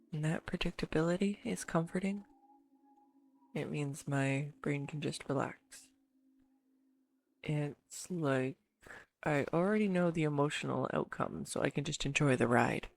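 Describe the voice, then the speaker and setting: Girlfriend roleplay, female performer as excitable, devoted geek partner; deep-ish female voice, enthusiastic, halting, intimate one-to-one address. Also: creaky. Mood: soft, reflective. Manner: earnest, enthusiastic info-dumping with halting pauses. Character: excitable, affectionate neurodivergent girlfriend gushing over her favourite film. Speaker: amateur voice actor. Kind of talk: scripted roleplay audio monologue spoken to a listener.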